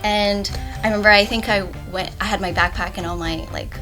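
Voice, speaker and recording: A woman's voice: mid-range voice, steady tone, fairly quick, music in the background. A woman from Canada chatting as a guest in a casual panel discussion, acting out little dialogues. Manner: chatty, quoting dialogue with laughs. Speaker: guest, works in legal field.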